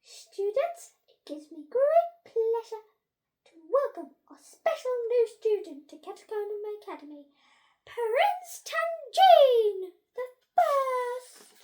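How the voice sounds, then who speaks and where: Woman playing stern female head teacher, monster-school storybook, high-pitched, loud, emphatic, easily flustered. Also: sing-song, slow, exaggerated voice. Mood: flustered pride.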